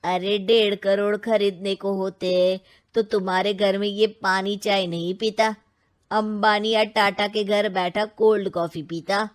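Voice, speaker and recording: A woman's voice: medium pitch, fairly even intonation, measured pace, close to an everyday voice. A woman voicing a cheeky, haggling junk dealer in a cartoon story. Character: sharp-tongued man, a junk dealer. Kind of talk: Hindi cartoon voice acting.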